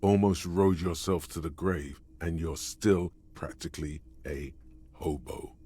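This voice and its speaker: A game's inner narrator with a very deep, husky voice. He sounds wry and goading as he needles the player with close, confiding remarks. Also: measured pace, dark tone. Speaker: game narrator voice. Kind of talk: scripted game narration.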